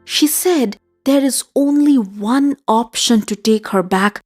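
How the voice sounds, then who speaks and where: Village midwife played by a female storyteller, uneasy and suspenseful, higher-pitched, very unhurried, folktale with music underneath. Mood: startled, fearful. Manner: suspenseful, measured first-person recounting. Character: startled village midwife recounting an eerie encounter.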